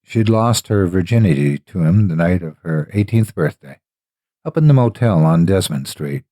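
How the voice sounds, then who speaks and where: Man, deep voice, raspy, dramatic scripted horror narration, ominous deliberate phrasing, dry close sound. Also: lively intonation, dark tone, no echo.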